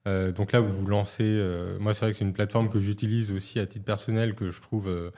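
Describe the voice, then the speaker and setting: A man's voice: lowish voice, flat delivery, brisk, dark tone. A man interviewing company guests, asking long, hesitant questions.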